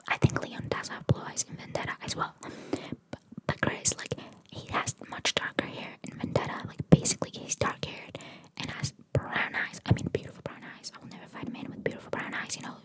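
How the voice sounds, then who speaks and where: A woman hosting ASMR, reading trivia in a breathy whisper with chatty, informal, opinionated asides. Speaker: ASMR host.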